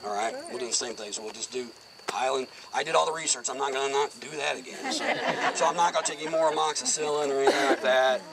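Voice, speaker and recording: A man's voice: medium pitch, fast talker, very noisy. Man guiding an outdoor cemetery tour. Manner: casual, explanatory, addresses the group directly. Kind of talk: outdoor walking tour talk. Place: outdoor cemetery, in a small open space. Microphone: far camera, no mic.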